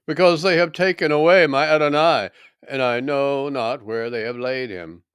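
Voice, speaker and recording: A man's voice: mid-range voice, unhurried, smooth, no echo, clean studio sound, lightly acted. A man voicing an earnest, pleading, grieving woman in a dramatic scripture reading.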